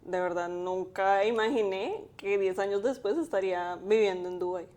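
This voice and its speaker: Young woman, mellow voice. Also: mid-range voice, moderate pace. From Colombia. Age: 20s. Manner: thoughtful, with many fillers. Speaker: guest, graphic designer. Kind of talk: interview.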